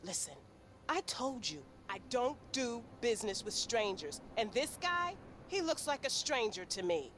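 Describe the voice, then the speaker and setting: A woman's voice: fairly high pitch, very animated, unhurried, fairly bright tone, a little hiss or hum. A woman playing a hostile, foul-mouthed arms dealer in a video game cutscene, suspicious, sarcastic and confrontational. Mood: angry.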